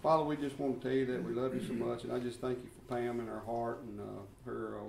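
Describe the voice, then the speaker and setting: A man introducing a guest teacher and praying, halting with fillers. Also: medium pitch, little pitch movement, creaky, darkish tone, far from the mic. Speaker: church class leader. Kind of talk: introduction and prayer. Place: church classroom. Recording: roomy echo, from off mic, faint room noise.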